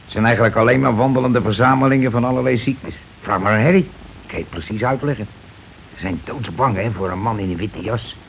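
Gruff scheming husband, muffled old radio. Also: male voice, fairly low pitch, fast. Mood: know-all. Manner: colloquial, blustering, evasive. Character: gruff working-class husband, war veteran, scheming and self-excusing. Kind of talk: Dutch radio play acting. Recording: dull, noisy old radio recording.

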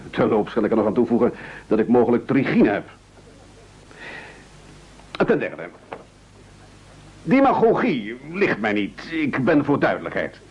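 An actor playing a wily, soldierly demagogue in a satirical radio play, speaking in a blustering, oratorical way. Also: male voice, fairly low pitch, a little mellow, breathy edge. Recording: dull old radio recording.

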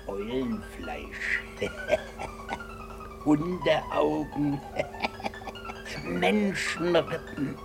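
A macabre dreaming butcher relishes his words in a slow, gravelly drawl. His pitch swoops widely up and down. Plucked strings play clearly behind him in a radio play. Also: big pitch swings, music in the background, full character voice. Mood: gleeful.

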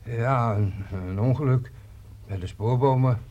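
An actor playing a shaken uncle who haltingly reports an accident on the phone in a radio play. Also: male voice, medium pitch, dark tone, highs cut off, lightly acted. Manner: halting, stammering. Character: shaken man, uncle passing on bad news.

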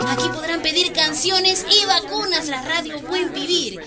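An actress playing a nervous but eager first-time radio announcer, informal and earnest, in a radio drama. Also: female voice, very high-pitched, unhurried. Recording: music behind the voice.

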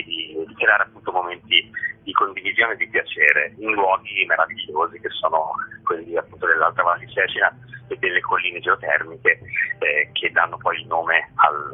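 A man with a deep, rough-edged voice answers as an interview guest. He explains things haltingly, circling back and repeating filler words. The sound is thin and band-limited, like a telephone on the radio. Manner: hesitant, with frequent filler words and repetitions. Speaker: festival artistic director, interview guest. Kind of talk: spoken interview, explaining an event. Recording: muffled narrow-band radio phone line.

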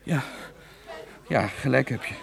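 An actor playing an excitable, opportunistic male television director in a radio play, frantic and prone to stammering. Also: medium pitch, unhurried, vocal fry. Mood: rueful, dazed. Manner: frantic, stammering, self-questioning. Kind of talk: Dutch radio play acting.

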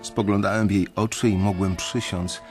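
A man narrating in the first person in a radio drama, measured and reflective. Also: lowish voice, fairly even intonation, over music.

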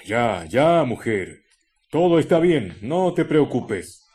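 A man playing a brooding, menacing husband who hides deadly plans, in a radio drama with slow, muttered delivery. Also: mid-range voice, very animated, darkish tone, slightly rough, voice pushed up, exaggerated voice. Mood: falsely calm. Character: brooding, secretive, menacing husband plotting a killing.

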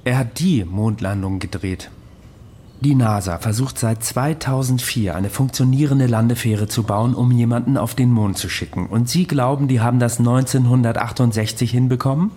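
An actor in a radio play playing an insistent, knowing conspiracy believer who explains confidently. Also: male voice, medium pitch, moderate pace, mellow, breathy edge, close to an everyday voice. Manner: confident, didactic.

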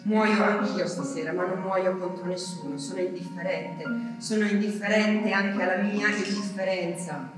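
An anguished wife in wartime , played by a stage actress, speaks her diary as an intense theatrical monologue. Her delivery is halting and heavy with grief. The voice sits at a distance in a very reverberant hall, with clear music behind it. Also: mid-range voice, steady tone, lightly acted. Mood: resigned, weary. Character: anguished French wife awaiting her deported husband in wartime.

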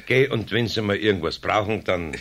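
A man's voice: lowish voice, normal speed, a bit bright, slight background noise. An actor playing a flustered, grumbling middle-aged master craftsman with a Bavarian accent in a children's radio play. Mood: relieved, grateful. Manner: blustering, pleading, nervous.

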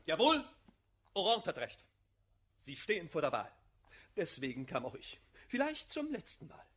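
A jealous suitor in a classical verse radio comedy. His voice is rough-edged and fairly high, with lively swings in pitch. He speaks formally and declaims his demands with pride. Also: normal speed, exaggerated voice. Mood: demanding. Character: jealous, insistent male suitor, proud and formal.

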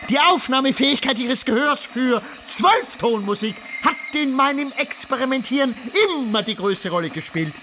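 A man's voice: very high voice, animated, noisy background. An actor playing an obsessive, tyrannical man fanatically studying hearing in a radio monologue, with intense, emphatic delivery. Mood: feverish, strained. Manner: intense, emphatic, drawn-out delivery of key words. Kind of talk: dramatic literary radio monologue.

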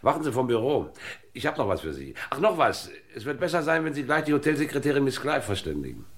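An actor playing a brisk, shrewd police inspector in a 1960s German radio crime play, clipped and authoritative. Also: male voice, medium pitch, very animated, slight background noise, lightly acted. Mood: brisk, probing.

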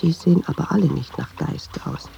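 A woman's voice: deep voice, fairly quick, gravelly, warm tone, highs cut off, a little hiss or hum. An actress playing a watchful, nervous woman who muses hesitantly in an intimate radio drama monologue, dropping into half-whispers. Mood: musing, watchful. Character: watchful, nervous woman narrating her inner thoughts.

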